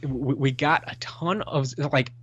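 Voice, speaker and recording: A man in casual podcast chat, co-hosting with a middle-pitched voice. He talks haltingly, full of restarts and fillers, while reading listener mail. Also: a bit bright, husky, dull audio. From Washington State, US. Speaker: podcast co-host.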